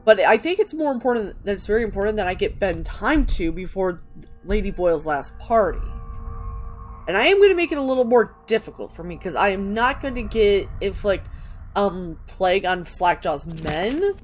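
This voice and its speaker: A woman hosts a gaming video in a mid-pitched voice. She reads game books and chats about her play, with music playing behind her. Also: very animated, moderate pace. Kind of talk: reading game books aloud and casual gameplay commentary.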